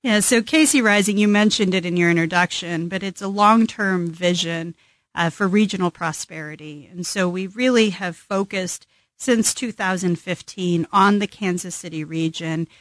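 Woman, American accent, slightly low voice, conversational interview answers, very little room sound. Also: normal speed, dry recording. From New Mexico, United States. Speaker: interview guest, executive director.

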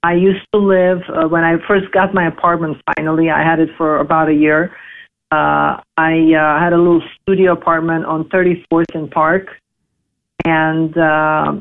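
Woman, 40s, halting speech, fillers and restarts, tinny phone-line sound. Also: sits low, little pitch movement. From Serbia. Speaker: interview guest. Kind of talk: casual interview, personal story.

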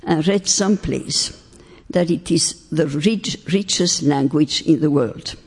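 A woman's voice: sits low, a little mellow, breathy edge. Older woman lecturing in a hall. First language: French. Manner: deliberate, emphatic, stretches key words. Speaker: speaker on faith and philosophy. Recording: long hall reverb.

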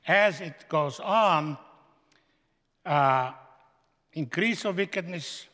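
A man with a Slovenian accent, a guest speaker giving a slow, emphatic sermon in a seminary chapel. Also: a little high, expressive, sharp tone. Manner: slow, emphatic preaching with stretched-out phrases. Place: large chapel. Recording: echoey hall with long reverb.

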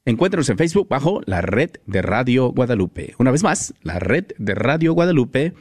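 A man reading a scripted radio advertisement in a brisk promotional manner. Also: mid-range voice, big pitch swings, a little mellow, husky, dry recording, studio quality. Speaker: radio announcer.

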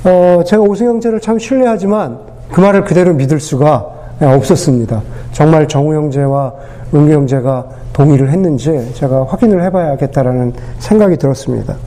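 Hoarse-voiced male pastor with a cold. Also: a little high, dark tone. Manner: unhurried, with many pauses and fillers. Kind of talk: sermon.

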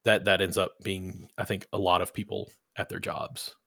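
A man with a low, gravelly voice talks casually, rambling with restarts and agreeing often. The recording is dry and close. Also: level tone, normal speed, sharp tone, no echo. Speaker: podcast co-host. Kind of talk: casual chat.

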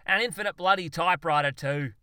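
A man's voice: fairly high pitch, expressive, fairly quick, bright, forceful tone, dry recording, clean studio sound. A man voicing a fervent, exasperated Australian zoologist in a comic story, ranting emphatically and swearing. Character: exasperated, blustering Aussie bloke zoologist, manically fervent.

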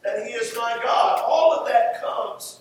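A man delivers a sermon in a high-pitched voice that rings heavily around the room. He is picked up from a distance from the mic, with music in the recording. His preaching is emphatic, leaning on repeated phrases. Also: unhurried, some chatter behind, a little hiss or hum. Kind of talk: sermon with scripture teaching and prayer. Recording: roomy echoing hall, distant mic, music.

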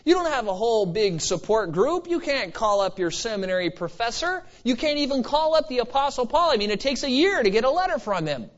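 High-pitched man preaching emphatically. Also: very animated, brisk, few pauses. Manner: emphatic, exhorting, conversational asides. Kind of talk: expository sermon. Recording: slightly dull, lo-fi sermon recording.

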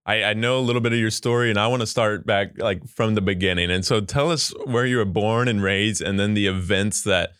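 Brief: male podcast host, lowish voice, dry room, earnest interviewer. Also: a little fast, few pauses, fairly bright tone, clear, resonant tone, no echo. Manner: earnest, leads the questions. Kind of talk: casual podcast chat.

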